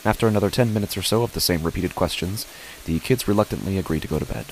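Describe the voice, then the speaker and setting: A man plays a father narrating a first-person horror tale. His voice is very low and he speaks in deliberate, short phrases. A faint hiss lies behind the words. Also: poor audio. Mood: sombre, tense. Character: father narrating his family's uneasy stormy night.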